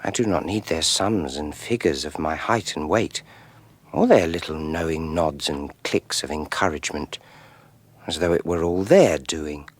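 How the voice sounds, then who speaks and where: Brooding regressed scientist, low husky voice, halting and disdainful, radio play monologue. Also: male voice, no room sound. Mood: grave, defiant. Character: solemn scientist regressed to boyhood, musing aloud.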